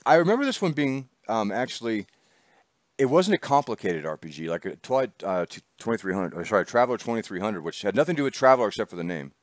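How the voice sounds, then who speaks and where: A man's voice: fairly low pitch, very animated, quick pace, no echo, studio quality. A man hosting a casual chat show, rambling and laughing as he talks. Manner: chatty, rambling, laughs often. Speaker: host. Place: small studio.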